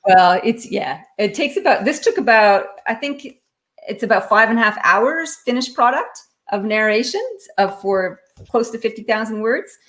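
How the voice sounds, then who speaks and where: A woman's voice: on the high side, animated, normal speed, a bit bright. A woman in a live online interview, explaining her leadership consulting work in an articulate, explanatory way. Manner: articulate, explanatory, lightly laughing. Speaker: guest, leadership author and consultant.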